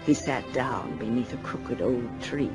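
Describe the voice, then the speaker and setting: A man narrating a scripted story for an audio drama in a measured, dramatic storytelling manner. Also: medium pitch. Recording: loud music behind narration. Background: loud music.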